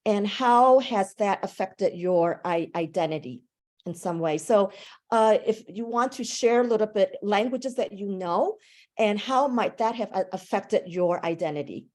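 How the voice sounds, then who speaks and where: A woman language instructor, a native English speaker, leading a conversational online class discussion over a video call, thoughtful and a little halting. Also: mid-range voice, darkish tone. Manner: conversational, thoughtful, with a few restarts.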